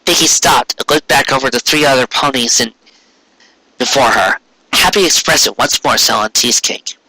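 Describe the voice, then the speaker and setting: A man reading a story aloud as an expressive narrator. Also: mid-range voice, normal speed, breathy edge, dry recording, poor audio. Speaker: narrator, fan fiction reader.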